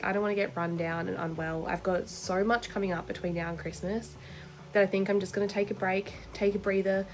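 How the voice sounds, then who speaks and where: A woman's voice: mid-range voice, poor audio. A woman chatting informally to camera in a personal vlog, rambling and confiding in the listener. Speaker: vlogger. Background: background music.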